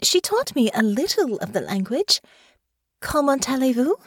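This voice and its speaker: A woman's voice: fairly high pitch, sing-song, creaky, breathy edge, no room sound, studio quality, voice pushed up, full character voice. A woman voicing an earnest young noblewoman who gets flustered and stumbles over her words. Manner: eager, halting, stumbling restarts.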